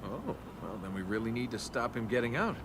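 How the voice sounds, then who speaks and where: A man's voice: medium pitch, sing-song, brisk. An actor voicing a cocky, wry young male adventurer in a video game, flippant and conversational. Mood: wry, casual. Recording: game audio with noisy ambience behind.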